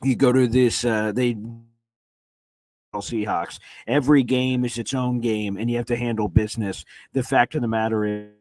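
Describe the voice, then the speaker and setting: A man whose voice is nearly gone from screaming hosts a live sports reaction stream from a hotel room. He talks in an excited, rambling way, full of "uh"s and restarts. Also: medium pitch, normal speed. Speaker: sports reporter and livestream host. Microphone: streaming setup on weak hotel wifi.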